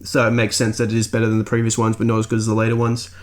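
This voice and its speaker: A man's voice: fairly low pitch, completely flat delivery, very fast. A man hosting a podcast, giving a conversational book review, sometimes circling back on his phrases. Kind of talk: conversational review, reading out listener comments.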